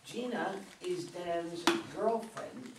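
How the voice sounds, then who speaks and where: An older man with a slightly high voice reads stage directions at a play reading. He is heard from some distance in an echoey room. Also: drawn-out words. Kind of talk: scripted narration at a play reading. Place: meeting room, around a table. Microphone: far camera. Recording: distant mic, echoey room, faint noise.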